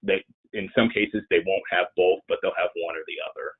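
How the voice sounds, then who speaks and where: Muffled, band-limited webinar audio carries a very low-pitched man who sounds congested and nasal from a cold. He answers questions in a loose, rambling, conversational way, and his voice is somewhat rough rather than clean. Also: fairly even intonation, brisk. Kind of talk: informal webinar Q&A. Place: online presentation.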